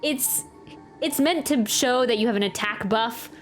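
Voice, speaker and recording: High-voiced woman reacting to a game. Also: measured pace. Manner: playful, expressive, chatty. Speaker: reaction video host, gamer. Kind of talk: casual gameplay reaction, some reading aloud of dialogue. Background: game music.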